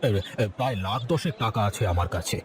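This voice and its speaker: A man's voice: lowish voice, expressive, quick pace, a little hiss or hum, lightly acted. A man voicing a kindly, trusting village grocer and husband in a cartoon. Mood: friendly, curious. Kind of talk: cartoon voice acting.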